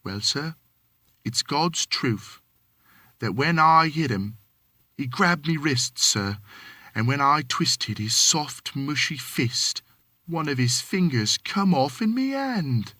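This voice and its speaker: This is a young Cockney manservant, shaken and deferential, as a man narrating puts him on. His voice sits higher and bright against a dry, close sound, and he tumbles through his story with nervous politeness. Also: animated, slow pace, no room sound, full character voice. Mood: fearful. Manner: deferential, keeps saying sir.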